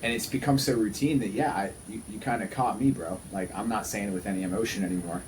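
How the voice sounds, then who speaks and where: A man in his thirties with a fairly low voice chats as a podcast host. He is earnest and emphatic, circling back over his phrases. There is faint background noise in the recording. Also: fast. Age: 30s. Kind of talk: casual conversational podcast talk.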